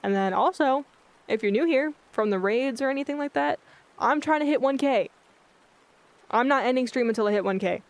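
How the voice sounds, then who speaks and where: Monotone, blunt-sounding livestreamer. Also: high-pitched, moderate pace. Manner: rambling, loses track of thoughts. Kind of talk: casual chat with live viewers. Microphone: filtered streaming mic.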